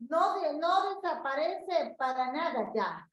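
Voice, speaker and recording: A woman's voice: sits high, animated, takes it slowly, fairly bright tone, echoey room, rough recording. A woman interpreting a sermon, fluently repeating and expanding each phrase for the congregation.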